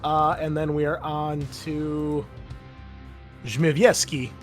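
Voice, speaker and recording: A man's voice: fairly high pitch, little pitch movement, slow. Male game master, conversational tabletop narration with explanations, faint music underneath. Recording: music playing behind the voice. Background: background music.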